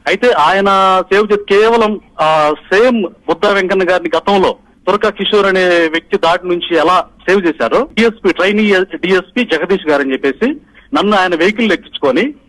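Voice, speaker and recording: A man's voice: sits high, fairly bright tone, low-quality recording. A middle-aged man from Andhra Pradesh calling in by phone as a party polling agent, giving an urgent, emphatic account to the media. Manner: urgent, pressing, emphatic retelling. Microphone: phone call.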